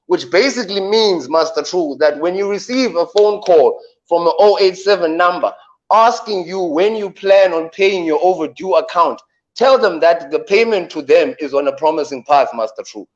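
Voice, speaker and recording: Deep-voiced South African man joking. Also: muffled recording. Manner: joking, playful, drawn-out punchlines. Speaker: radio comedy co-host. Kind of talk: comic radio banter.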